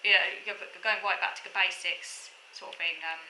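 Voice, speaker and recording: Uk woman rambling on about her day at home, lots of ums, bright tone, bit of room echo. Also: fairly low pitch, fairly even intonation, a little fast, a little hiss or hum. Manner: chatty, many ums and restarts, self-deprecating asides. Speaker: vlogger. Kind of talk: casual rambling monologue.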